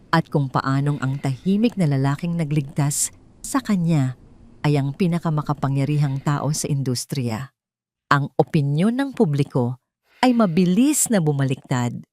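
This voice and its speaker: A woman with a Filipino accent narrating a scripted dramatic story in a measured, solemn storytelling manner. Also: deep voice, a little mellow.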